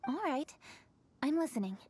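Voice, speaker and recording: A woman's voice: high-pitched, expressive, moderate pace, a little mellow. An actress playing a poised, diligent young noblewoman in a video game, speaking in a composed, articulate, formal way. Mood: polite, curious.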